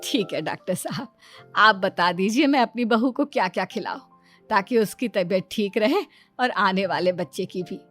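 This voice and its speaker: A woman's voice: medium pitch, expressive, moderate pace, a bit bright, soft background music. A woman voicing an easily amazed, questioning mother-in-law in an acted comedy family story. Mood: approving, curious. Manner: exclamatory, wondering.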